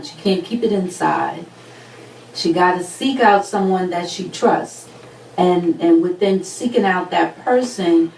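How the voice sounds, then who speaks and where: A woman speaking in a measured, advising way on a talk show. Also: fairly low pitch, vocal fry, darkish tone, roomy sound, recorded from a distance, a little hiss or hum, low-quality recording. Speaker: panelist.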